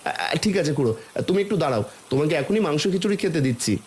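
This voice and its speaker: A man's voice: fairly high pitch, expressive, rapid-fire, noisy background, lightly acted. A man voicing a kindly village food seller and cheerful father in a cartoon. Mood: friendly, obliging. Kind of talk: cartoon voice acting.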